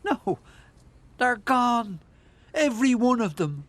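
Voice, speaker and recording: An actor playing a kindly, eccentric older Irish man in a radio play, hesitant but gently insistent and teasing. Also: extremely high pitch, sing-song, slow pace, dry recording, exaggerated voice. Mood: hesitant wonder.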